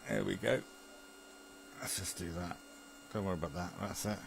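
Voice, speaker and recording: A British man with a fairly low voice talks casually as a hobbyist among friends. He hesitates and repeats himself in an easygoing technical chat, with a low mains hum on the recording. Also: fairly even intonation, moderate pace, vocal fry. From Britain. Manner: hesitant, chuckling, repeats phrases. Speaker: amateur radio enthusiast.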